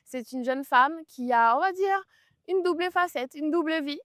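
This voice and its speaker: A woman's voice: sits high, normal speed, a bit bright, dry recording, clean studio sound. A woman hosting an interview outdoors on a trail, chatting in an upbeat, encouraging way as she walks with her guest. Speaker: host. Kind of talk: casual interview while walking.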